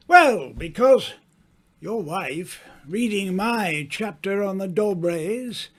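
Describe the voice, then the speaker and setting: A man playing a worldly, self-assured older writer in an old-time radio mystery, speaking grandly with teasing, dramatic pauses. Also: high voice, lively intonation, full character voice. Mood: amused, self-satisfied.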